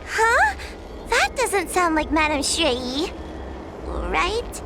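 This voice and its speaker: A woman's voice: very high voice, wildly swooping pitch, drawn-out words, background noise, exaggerated voice. An actress playing a timid, easily scared young girl in a video game, speaking meekly and hesitantly. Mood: wary, nervous. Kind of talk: video game character acting.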